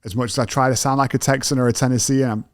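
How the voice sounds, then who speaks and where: A man's voice: mid-range voice, steady tone, racing, dry recording, studio quality. A man with a British accent hosting a podcast interview, asking thoughtful questions in a self-deprecating, conversational way. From Britain. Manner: thoughtful, self-deprecating, frequent agreeing "yeah". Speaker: podcast host.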